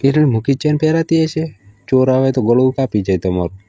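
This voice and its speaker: Male actor as placating hard-up lover, Gujarati accent, very mellow dark tone, dry close sound, casual phone chat. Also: mid-range voice, lively intonation, a little fast, dry recording, lightly acted. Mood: dissuading. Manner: placating, bargaining. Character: hard-up village in-law lover, stalling and placating. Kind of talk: staged casual phone conversation.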